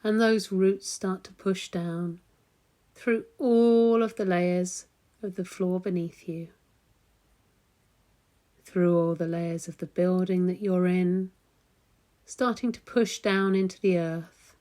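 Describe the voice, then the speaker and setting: A woman leading a guided meditation in a soothing, gentle, unhurried manner with long pauses. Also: on the low side, steady tone.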